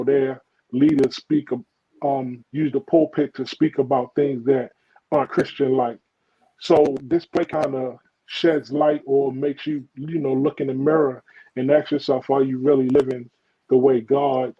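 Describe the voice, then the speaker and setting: A man's voice: mid-range voice, husky, muffled recording. A man on a video call explaining his play, earnest and reflective, with many "you know" fillers. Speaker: playwright guest. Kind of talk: interview answer.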